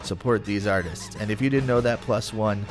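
Upbeat low-voiced podcast host. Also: male voice, normal speed, music in the background. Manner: upbeat, chatty, playful asides. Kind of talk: casual podcast chat and segment narration.

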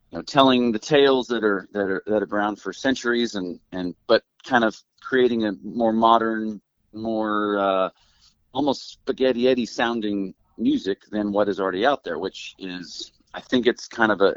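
A man with a low, gravelly voice answers interview questions haltingly, with lots of fillers. The sound is dull and dry, as if it came down a remote line. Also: a bit bright, dry recording. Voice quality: gravelly this morning. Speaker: musician guest.

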